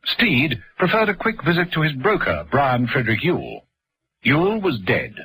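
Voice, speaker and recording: A man's voice: on the high side, huge pitch swings, normal speed, slightly rough, rough recording. A man narrating a vintage radio thriller in a dramatic, suspenseful storytelling manner. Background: loud music.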